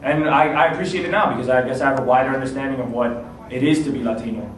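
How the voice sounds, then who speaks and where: A man from the United States giving thoughtful, halting interview answers, often repeating himself as he searches for words. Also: medium pitch. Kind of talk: casual interview testimony. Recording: echoey, distant mic, faint noise.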